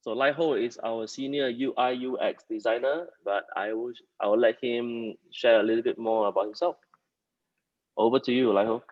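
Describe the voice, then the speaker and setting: A man presenting informally at a company meeting, pausing often mid-sentence as he speaks. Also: medium pitch, fairly even intonation, a little mellow, dry recording. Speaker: company leader hosting a staff townhall. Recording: dull, muffled lo-fi audio.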